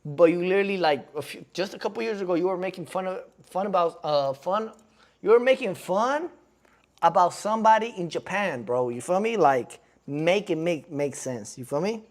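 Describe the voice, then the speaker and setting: A man's voice: high voice, expressive, normal speed. A man in his twenties rambling in slangy casual commentary as he reacts on stream, constantly checking in with his chat. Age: 20s. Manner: slangy and rambling, keeps asking the chat "you feel me". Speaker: streamer reacting to a podcast clip.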